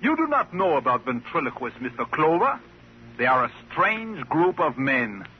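High-voiced, haughty stage magician. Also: male voice, big pitch swings, normal speed. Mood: gloating. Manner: theatrical, formal, disdainful. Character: vain, scornful male stage magician. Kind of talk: 1950s radio drama acting. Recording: muffled, slightly noisy old radio recording.

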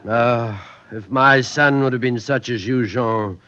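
A man's voice: medium pitch, slow, sharp tone. A man acting a boastful, gullible middle-aged French factory owner in a 1950s radio drama, theatrical and expansive. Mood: expansive, tipsy. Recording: muffled vintage radio recording.

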